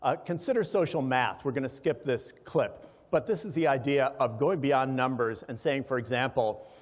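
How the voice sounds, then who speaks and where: A man's voice: mid-range voice, moderate pace. A man giving an explanatory presentation to a live audience, checking in with listeners, with hesitations as he goes. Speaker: conference speaker, organization president. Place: large hall, live event. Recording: reverberant hall sound.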